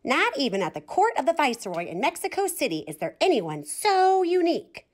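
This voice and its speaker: A gossipy storybook farm bird, played by a woman, exclaiming in amazement. The voice leaps very high and swings around in pitch. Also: huge pitch swings, fairly quick. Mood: amazed.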